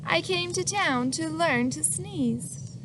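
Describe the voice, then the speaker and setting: High-voiced woman reading a picture book. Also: slow, noisy background. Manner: animated, asks the listener questions, acts out sneezes. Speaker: children's book reader.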